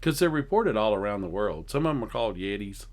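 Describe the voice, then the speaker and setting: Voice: mid-range voice, lively intonation, fast talker. A host in casual comedic banter, teasing and often interjecting.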